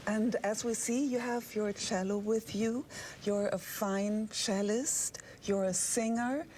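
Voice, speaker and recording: A woman interviewer introducing her guest and asking questions in a measured, hesitant way with many fillers. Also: medium pitch, steady tone, a little mellow, slight background noise, rough recording.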